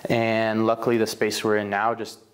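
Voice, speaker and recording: A man with a fairly low voice talks through his background in an oral history interview. He is relaxed and meandering, often trailing off with fillers and correcting himself mid-sentence. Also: moderate pace. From Slovenia. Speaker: bakery owner, interviewee.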